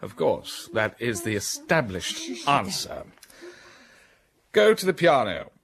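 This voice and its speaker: An actor playing an imperious gentleman in a radio drama, questioning curtly. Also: mid-range voice, very animated, slow pace, gravelly, full character voice. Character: brusque, commanding gentleman of the manor.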